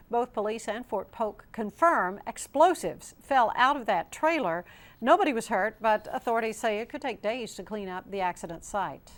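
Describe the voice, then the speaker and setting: A woman anchoring a television newscast, reading scripted stories in a formal news delivery. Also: mid-range voice.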